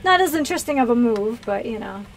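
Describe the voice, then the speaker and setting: American female streamer, slightly high-pitched, casual rambling chat, light noise behind the voice. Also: expressive, fast talker, poor audio. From US East Coast, Pennsylvania. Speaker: video game streamer and host. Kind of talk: casual livestream chat with viewers.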